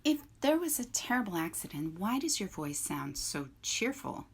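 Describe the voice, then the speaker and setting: A woman's voice: medium pitch, big pitch swings, moderate pace, breathy edge. A woman voicing an old female goose in a storybook reading, scolding and matter-of-fact. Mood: suspicious. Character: bossy old gray female goose, blunt and brisk.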